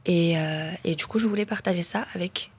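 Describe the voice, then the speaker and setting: A woman in her twenties from Mongolia, introducing herself casually and haltingly as a guest. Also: on the low side, breathy edge. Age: 20s. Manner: halting, searching for words. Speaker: guest, model and content creator.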